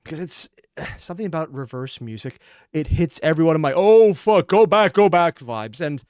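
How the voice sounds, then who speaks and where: A man's voice: a little high, lively intonation, normal speed, darkish tone, clean tone, dry recording, studio quality. A man giving casual, sweary, exclamatory reaction commentary as the host of a video.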